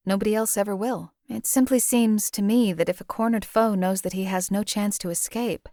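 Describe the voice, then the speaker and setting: A woman voicing a cold, emotionless wasteland assassin for a video game, with a detached, deadpan, formal and articulate delivery. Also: medium pitch, no room sound, studio quality, natural voice. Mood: coolly critical. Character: cold, emotionless female assassin for hire in a post-apocalyptic wasteland. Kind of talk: scripted video game character dialogue.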